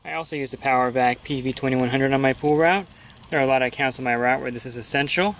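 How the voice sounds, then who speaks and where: A man's voice: medium pitch, fast talker, fairly bright tone, slight background noise. A pool service technician talking through his weekly routine in a plain, matter-of-fact instructional voice-over.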